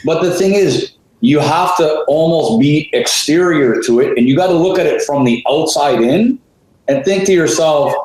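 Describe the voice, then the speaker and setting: Chatty male co-host, mid-pitch voice, lots of fillers and rhetorical asides, in a very echoey room. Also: expressive, normal speed, a little mellow. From Canada. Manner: talkative, many fillers, rhetorical questions. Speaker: co-host, real estate advisor. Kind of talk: conversational interview.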